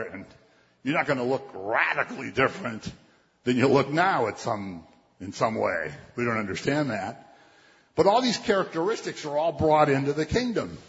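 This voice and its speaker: A man's voice: medium pitch, a bit bright. A man preaching a sermon in a conversational way, quoting scripture and acting out what people would say. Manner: conversational preaching, quotes scripture, acts out voices. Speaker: pastor. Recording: dull, muffled sound with long hall reverb.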